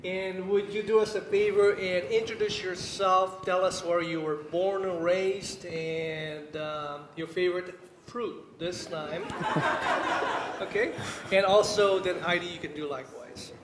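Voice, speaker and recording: A man's voice: high-pitched, steady tone, unhurried. A man hosting in a church hall, introducing the guest with light jokes. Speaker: church host. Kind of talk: introducing a speaker. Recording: echoey hall, light noise.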